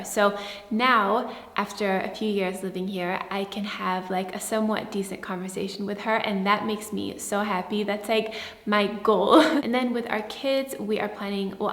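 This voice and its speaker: Soft-spoken Swedish-born woman in an echoey room. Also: medium pitch. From Sweden. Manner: soft, earnest, often says "like". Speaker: vlogger answering viewer questions. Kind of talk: casual Q&A to camera. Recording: echoey room with long reverb.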